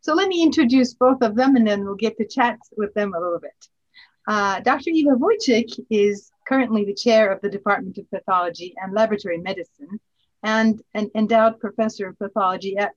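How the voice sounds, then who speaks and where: A woman hosting a podcast, giving formal introductions in a halting way with frequent hesitations. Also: medium pitch. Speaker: podcast host, society president. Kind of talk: formal introductions and casual chat. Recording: dull lo-fi audio.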